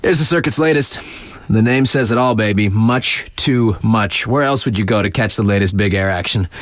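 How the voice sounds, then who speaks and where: A man's voice: medium pitch, no echo, clean studio sound, natural voice. An actor playing a laid-back, wry young male radio DJ for a snowboard game, with slangy, offhand, casual patter. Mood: upbeat, wry. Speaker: radio DJ on a ski mountain station.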